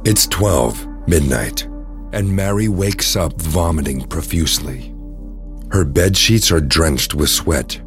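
A man's voice: very deep voice, lively intonation, takes it slowly, fairly bright tone. A man reading scripted narration for an audio drama. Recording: music under the voice. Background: music.

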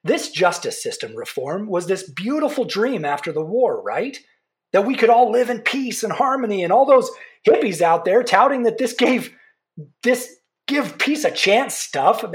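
A woman playing a zealous, insistent political campaigner in a podcast drama, speaking emphatically with rhetorical repetition. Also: mid-range voice. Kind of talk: fiction podcast drama, talk show debate.

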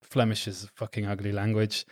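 A man's voice: lowish voice, a little fast. Belgian man with an English-Belgian accent. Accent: English with a Belgian tinge. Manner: relaxed, candid, laughs easily, swears casually. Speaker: podcast guest. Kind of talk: casual interview chat.

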